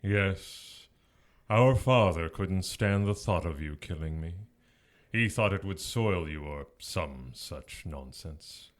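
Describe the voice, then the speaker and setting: A man's voice: a bass voice, full character voice. A man playing a smug, taunting, seductive fallen angel in an audio drama, with a teasing, sardonic drawl.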